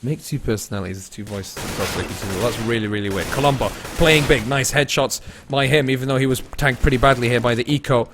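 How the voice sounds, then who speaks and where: A man commentating solo on a live game stream, mixing play-calling with casual chat to viewers and shifting between excited and low-key delivery. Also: fast talker, hardly any pauses. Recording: noisy with game gunfire and clicks. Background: game gunfire and clicks.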